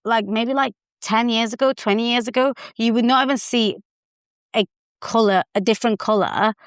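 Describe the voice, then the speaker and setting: A woman chatting casually as a podcast co-host, telling things in an animated, conversational way. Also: fairly high pitch, normal speed, dry recording, studio quality.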